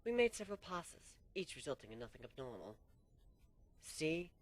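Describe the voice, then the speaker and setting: Animated man reading a fan story. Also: fast. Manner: first-person narration, animated, drops low for suspense. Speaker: story reader.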